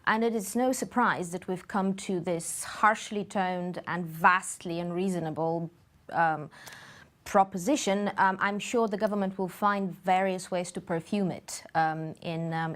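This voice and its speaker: A woman speaks in a fairly low voice in a studio interview. She answers in a measured way and pauses often with fillers between her points. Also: steady tone. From Bulgaria. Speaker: campaign group guest.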